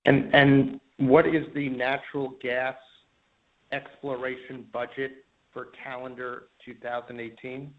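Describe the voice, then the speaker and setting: A man calling in as an analyst, putting probing questions in a halting way on an earnings call. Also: mid-range voice, level tone. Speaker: caller, investment analyst. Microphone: telephone. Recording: tinny narrow phone line.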